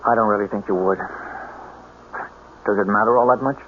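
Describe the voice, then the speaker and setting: An actor playing a bitter, self-mocking reclusive game warden in a radio drama, wry and halting. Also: male voice, fairly low pitch, steady tone, muffled recording, lightly acted. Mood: guarded. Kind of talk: 1970s radio drama acting. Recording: thin, slightly noisy old radio recording.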